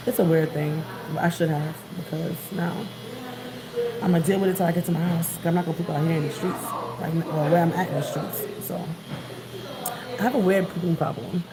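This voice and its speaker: Chatty New York woman, lowish voice. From New York City, Queens. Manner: rambling, chatty, greets viewers. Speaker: vlogger. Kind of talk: casual livestream chat. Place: outdoors on the street. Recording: noisy background.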